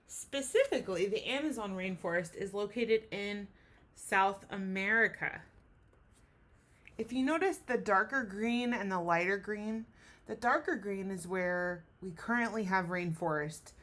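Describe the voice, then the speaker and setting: A woman with a mid-range voice speaks as a teacher reading to children. Her delivery is enthusiastic and expressive, in the style of a storybook read-aloud. She addresses young listeners directly and explains as she goes. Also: mid-range voice, unhurried.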